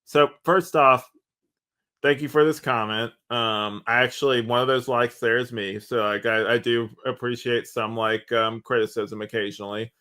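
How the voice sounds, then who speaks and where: A man's voice: mid-range voice, steady tone, normal speed, pushed and bright. A man co-hosting a podcast in casual discussion, talking in a rambling, conversational way with "like" dropped in often. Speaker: podcast co-host.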